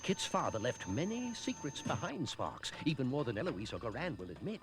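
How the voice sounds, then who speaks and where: An elderly cartoon mentor. He is patient and explanatory, with a very expressive, rolling intonation over loud music. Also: mid-range voice, fairly quick. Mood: patient, knowing. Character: wise old man, patient sidekick to a child. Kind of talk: animated cartoon acting.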